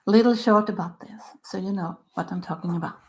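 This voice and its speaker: An older woman with a Swedish accent, speaking English in halting phrases, her voice dropping to a soft near-whisper at the ends of phrases as she talks about her own book. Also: medium pitch. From Sweden. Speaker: author. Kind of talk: self-recorded book talk.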